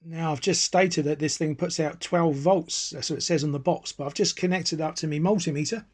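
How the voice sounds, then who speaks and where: A man's voice: on the high side, fairly quick, a little mellow, husky, dry recording, clean studio sound. A man casually presenting a hands-on product demonstration, chatty and explanatory, repeating points for emphasis. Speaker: amateur radio hobbyist presenting a gear review.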